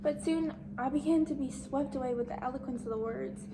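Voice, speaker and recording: Woman acting insecure nerdy teen girl, highish voice, amateur stage monologue, noticeable background noise. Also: a little fast, close to an everyday voice. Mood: hopeful, doubtful. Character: shy, insecure, bookish teenage schoolgirl, hopeful outcast. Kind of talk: amateur dramatic monologue reading.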